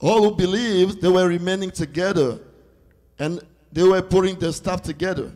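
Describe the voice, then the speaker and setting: High-voiced man interpreting a sermon. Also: a little mellow. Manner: echoes the preacher in short phrases, halting searches for words. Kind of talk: live consecutive interpreting of a sermon. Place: church service.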